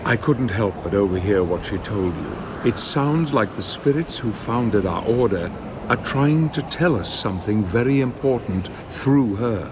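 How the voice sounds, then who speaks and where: A veteran warrior of a holy order in a dark game drama. His low voice is measured, formal and grave, as if he knows much. Clear ambient noise sits behind him. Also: expressive. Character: composed, knowing veteran warrior of a holy brotherhood. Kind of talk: dramatic cinematic game acting.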